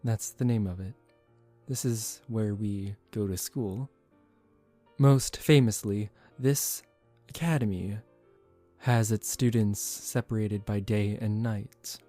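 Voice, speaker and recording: A man playing a composed young aristocratic vampire in a soft-spoken ASMR roleplay, calm, formal and gently commanding, protective yet secretive. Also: on the low side, takes it slowly, soft background music. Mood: gentle, reassuring.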